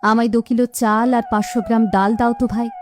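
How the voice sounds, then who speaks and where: A woman's voice: fairly high pitch, flat delivery, fast, music in the background, close to an everyday voice. A woman playing a weary, plaintive widowed village mother in a cartoon. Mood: meek, hesitant. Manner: plaintive, careworn. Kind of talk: cartoon voice acting.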